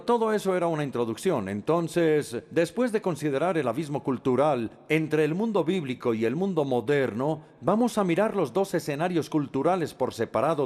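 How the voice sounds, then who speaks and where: Brief: male voice-over for a lecture, slightly high voice, lively intonation, measured with small hesitations. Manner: measured and explanatory, with hesitant fillers. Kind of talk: dubbed lecture.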